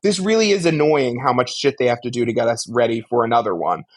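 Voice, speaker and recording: A man's voice: medium pitch, quick pace. A man co-hosting a podcast, giving casual but articulate critique in long, emphatic explanations. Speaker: podcast co-host. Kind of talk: casual media discussion and critique.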